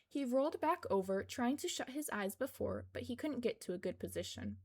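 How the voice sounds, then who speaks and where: A woman's voice: on the high side, expressive, brisk, darkish tone, husky. A woman reading scripted fiction aloud as narrator of a fan fiction podfic.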